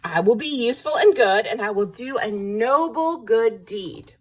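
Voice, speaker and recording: An earnest big bad wolf from a children's storybook, acted out by a woman. The voice is husky and swings up and down a lot. He pleads politely and emphatically, easily hurt. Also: a little high, lively intonation, moderate pace. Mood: eager, polite.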